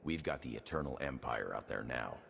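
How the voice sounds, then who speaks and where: An actor playing a stern, battle-worn, dutiful military officer in a video game, plain-spoken and restrained. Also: male voice, extremely low pitch, animated, brisk, creaky, a bit bright, heavily acted. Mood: grave, resolute. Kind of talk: scripted video game dialogue. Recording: reverberant hall with faint background noise.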